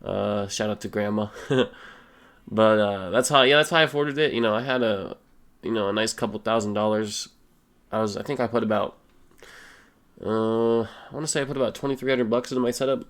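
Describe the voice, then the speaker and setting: A young man with a stuffy, slightly sick voice, casually answering viewer questions as a gaming YouTuber, relaxed and full of fillers. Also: lowish voice, fairly quick. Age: young adult. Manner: relaxed, rambling, many fillers. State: stuffy nose, a little sick. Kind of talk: casual Q and A voice-over.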